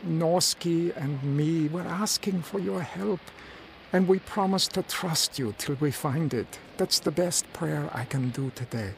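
A man's voice: fairly high pitch, measured pace, creaky, a little hiss or hum, poor audio. An actor playing a humble, sincere man who has given up drinking, telling his story in a radio drama with some hesitance. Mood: humble, hesitant. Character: earnest, humble reformed drinker recalling his life. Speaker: narrator and lead character. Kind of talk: radio drama acting with first-person narration. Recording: music behind the voice. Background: music.

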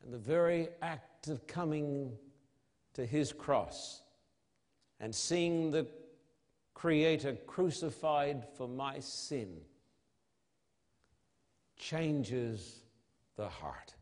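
A man preaching an evangelistic sermon in a solemn, emphatic manner, with dramatic pauses and rhetorical questions. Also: on the high side, expressive, a little mellow. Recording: long hall reverb.

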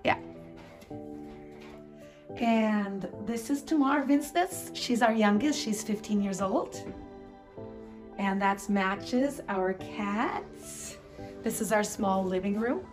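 A woman's voice: mid-range voice, slow, pauses often, roomy sound, over music. A Canadian woman in her forties, presenting her family and her vision earnestly as a guest. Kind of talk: personal presentation over a home video.

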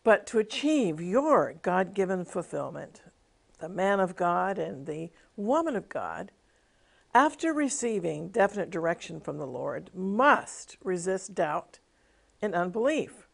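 A woman's voice: on the low side, sing-song, a little slow, gravelly. A middle-aged woman television minister giving a devotional teaching with a personal testimony, in an earnest, emphatic preaching cadence.

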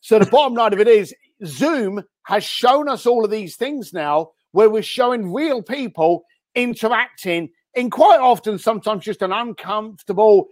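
Blunt high-voiced male guest. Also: lively intonation, normal speed, no echo. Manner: blunt, punchy advice. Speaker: podcast guest. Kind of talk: interview chat.

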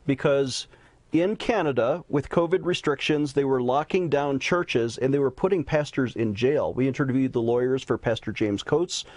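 A man's voice: medium pitch, moderate pace, darkish tone, no echo. A man hosting a religious news talk show, speaking in a formal, preacherly way.

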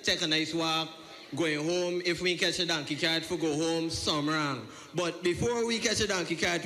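A man's voice: high-pitched, pushed and bright. A man with a Caribbean accent performing conversational spoken-word poetry as a story. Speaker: poet.

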